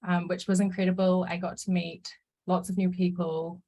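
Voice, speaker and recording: A woman's voice: lowish voice, level tone, normal speed, warm tone. A young woman in her twenties, a mentee from New Zealand, sharing her career story in a friendly, eager way. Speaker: mentee and consultant.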